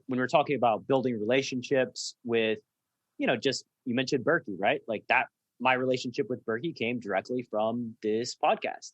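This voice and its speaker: A man hosting a podcast in a close, dry-sounding space. He asks question after question, keeps pressing why, and talks in a relaxed, conversational way. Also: medium pitch, no room sound. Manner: probing, keeps asking why. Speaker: podcast host. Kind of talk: conversational interview.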